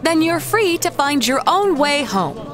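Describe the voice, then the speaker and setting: An actress playing a composed, businesslike expedition backer in an audio drama, formal and dryly teasing. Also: female voice, high-pitched, sing-song, a little slow. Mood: cool, teasing. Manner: formal, dry, firm. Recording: loud music behind the voice.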